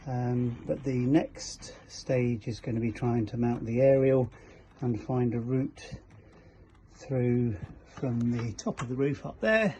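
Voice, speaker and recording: Man with a mellow voice, informal walkthrough. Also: medium pitch, takes it slowly. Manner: informal, hesitant, feeling for words while working. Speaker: presenter of a boat fitting shop's how-to videos. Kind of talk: hands-on DIY walkthrough, informal commentary. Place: aboard a small cabin motorboat. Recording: dull, lo-fi camera sound with faint noise.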